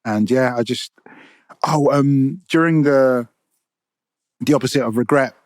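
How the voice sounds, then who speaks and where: A man's voice: mid-range voice, a little slow, no room sound. A man, a poet and storyteller, speaking as a guest in a casual podcast interview, earnest and often restarting his phrases as he thinks. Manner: earnest, restarts and repeats words while thinking.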